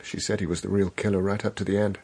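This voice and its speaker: An actor playing an earnest, troubled crash survivor in a radio drama. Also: male voice, lowish voice, flat delivery, quick pace, a little mellow, natural voice. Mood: weak, remorseful. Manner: urgent, incredulous, pleading. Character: frightened, pleading man, earnest crash survivor. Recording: music under the voice.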